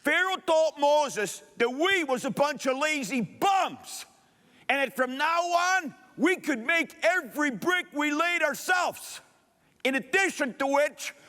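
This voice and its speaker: A man's voice: extremely high pitch, sharp tone, long reverb tail, voice pushed up, exaggerated voice. A man playing a blustery middle-aged union boss who speaks pompously and mangles big words.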